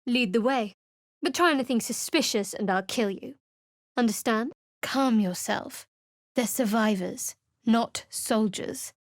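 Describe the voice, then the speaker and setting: A woman's voice: on the high side, unhurried, no echo, natural voice. A woman voicing a guarded, sardonic young cleric adventurer in a video game, speaking with dry, cutting wit and cool reserve. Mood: wry.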